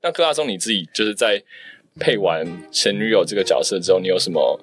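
Casting: male amateur voice actor, deep-pitched and quick, conversational delivery. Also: very animated, faint music behind. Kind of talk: casual chat.

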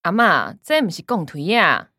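A blunt, sarcastic younger woman of the household in a radio play. Her slightly low voice swings widely in pitch, with a Taiwanese accent and little patience. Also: very animated, moderate pace, sharp tone, no echo, studio quality, exaggerated voice. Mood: questioning. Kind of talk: radio drama acting.

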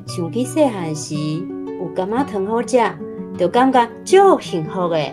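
A woman's voice: mid-range voice, sing-song, measured pace, over music. A woman with a Taiwanese accent playing a fond mother telling childhood memories in a children's radio drama. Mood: nostalgic. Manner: chuckling storyteller. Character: fond nostalgic mother recalling her childhood.

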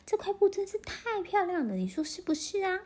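A woman's voice: very high-pitched, very animated, a little fast, darkish tone, voice pushed up. A woman voicing a vain king who loves new clothes, in a children's story. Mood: boastful. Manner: boastful and self-satisfied.

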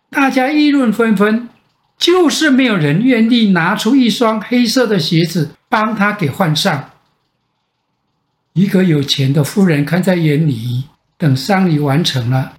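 An older man with a Taiwanese Mandarin accent tells a children's bedtime story in a gentle, expressive way with drawn-out phrase endings. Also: sits high. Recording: echoey room sound.

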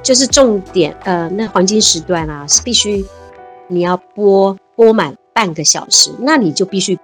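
A woman's voice: medium pitch, normal speed, breathy edge, faint music behind. A woman, a guest chatting casually and animatedly in an interview. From Taiwan. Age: 50s. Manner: animated, laughs easily, many fillers. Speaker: guest, civil servant.

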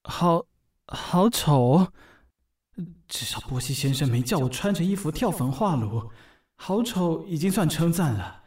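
A man's voice: fairly high pitch, animated, unhurried, a little mellow, slightly rough, no room sound, studio quality. An actor voicing an anxious, fussy young male office intern who reassures himself, in an audio drama. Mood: meek, relieved. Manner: anxious, precise, self-reassuring. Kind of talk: audio drama monologue and dialogue.

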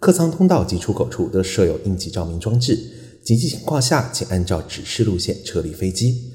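Deep echoey male flight attendant, acted by a man. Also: very animated, a little fast, warm tone. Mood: formal, instructional. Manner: formal, instructional. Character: male flight attendant reading safety briefing. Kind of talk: scripted in-flight safety announcement. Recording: roomy, echoey hall sound.

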